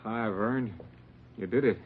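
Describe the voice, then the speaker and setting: An actor playing an anxious, doubtful prisoner, husky-voiced, in an old radio crime drama. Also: male voice, fairly low pitch, a little slow. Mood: worried, incredulous. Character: anxious, doubtful male prisoner, crook's brother. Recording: tinny old-time radio recording.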